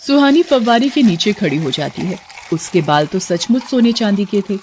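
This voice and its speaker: A woman's voice: medium pitch, expressive, normal speed, darkish tone, slight background noise. A woman narrator reading a scripted fairy tale in storybook style.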